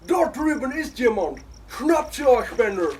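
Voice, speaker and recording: A man's voice: very high-pitched, very animated, measured pace, recorded from a distance, a crowd in the background, heavily acted. A man playing a ruthless, pompous businessman speech in a children's audio drama. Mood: alarmed, commanding. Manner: commanding, pompous, gloating. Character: ruthless male businessman, boss ordering forest felled.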